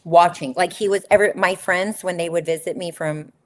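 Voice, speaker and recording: A woman's voice: fairly low pitch, steady tone, normal speed. A middle-aged woman, a former model, telling her story in an interview with emphatic repetition. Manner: emphatic, repeats phrases for stress. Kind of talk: candid conversational storytelling.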